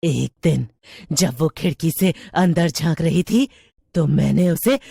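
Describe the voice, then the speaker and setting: Cartoon witch played by a woman: menacing neighbour, slow taunting threats, close dry sound. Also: deep voice, vocal fry, mellow, slightly rough, no room sound, exaggerated voice. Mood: mocking menace. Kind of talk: Hindi horror cartoon storytelling.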